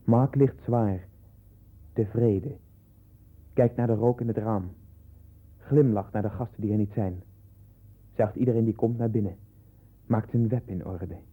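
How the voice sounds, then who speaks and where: Brooding, low husky-voiced watcher. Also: male voice, unhurried, long pauses. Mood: brooding, watchful. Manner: clipped, incantatory listing of observations. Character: brooding man observing another in obsessive detail. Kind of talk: 1960s radio play monologue. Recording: tinny, narrow 1960s radio recording.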